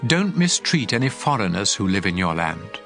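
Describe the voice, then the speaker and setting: A man's voice: lowish voice, big pitch swings, moderate pace, fairly bright tone. A man playing God as a stern lawgiver, solemn and commanding in a dramatized scripture reading. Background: music.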